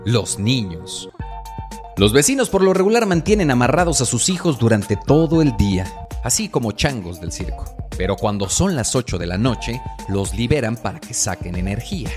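A man's voice: mid-range voice, big pitch swings, moderate pace, a little mellow, soft background music. A man with a Mexican accent delivering a scripted comedic monologue as a podcast host, in a tongue-in-cheek, mock-formal way.

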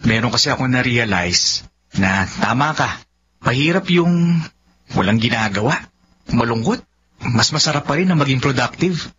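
Actor doing a shy nice young filipino guy, radio drama, animated, slightly muffled. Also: medium pitch, moderate pace, pauses often, close to an everyday voice. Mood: pensive. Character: Warren, kind, shy young male office worker. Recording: lo-fi audio with faint noise.

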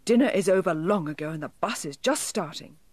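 A woman's voice: on the low side, expressive, dry recording. Woman acting a cross, scolding British mother. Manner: brisk, scolding.

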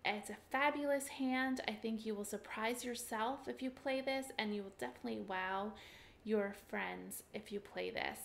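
A woman's voice: on the high side, normal speed, gravelly, a little hiss or hum. A middle-aged woman teaching a game on a webcam, walking through each step in an upbeat, chatty way with frequent ums. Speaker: mahjong teacher. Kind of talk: screen-share tutorial walkthrough.

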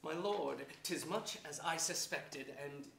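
A man's voice: fairly high pitch, takes it slowly, gravelly, fairly bright tone, heavily acted. An actor playing an obliging, scheming nobleman in a theatrical Shakespearean staged reading. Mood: courtly, eager. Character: obliging, scheming nobleman, eager ally of a usurper. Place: theatre stage before an audience. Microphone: far camera. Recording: echoey theatre stage with noise.

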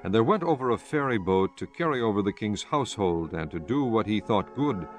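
Low-voiced man narrating scripture over music. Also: animated, fairly quick.

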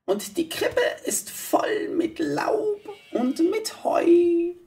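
Teeny old gnome, super high and swoopy, gentle slow murmur, storybook style, sounds a bit far off. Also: female voice, sing-song, gravelly, full character voice. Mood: gentle, soothing. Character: tiny ancient gnome, gentle patient watcher over farm animals. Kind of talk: children's storybook audio play.

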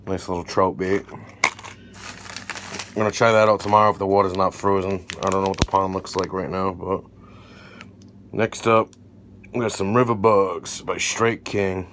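A man's voice: deep voice, fairly even intonation, moderate pace, long pauses, fairly bright tone. A man casually narrating an unboxing vlog as the creator, indoors at a table. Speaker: fishing video creator. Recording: noticeable background noise.

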